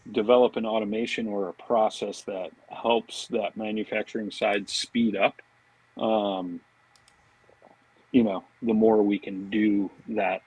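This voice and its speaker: American male interview guest, deepish and raspy, informal and meandering, laid-back phrasing. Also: no echo, low-quality recording. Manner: informal, rambling, peppered with "you know" and "man". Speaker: podcast guest, fishing products marketing director. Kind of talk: casual interview chat.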